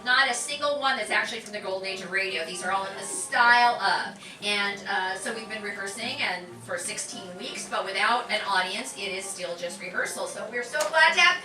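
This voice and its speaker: A woman's voice: mid-range voice, brisk, hardly any pauses, music in the background. A woman teacher giving a chatty, upbeat welcome and announcements to a live audience, full of fillers. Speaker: class instructor welcoming an audience. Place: community center performance room. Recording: echoey room from far off.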